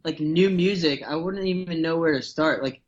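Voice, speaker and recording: A man's voice: a little high, normal speed. North american guy rambling on a podcast, lots of likes, sounds kinda muffled. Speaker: guest comedian. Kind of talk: casual podcast chat.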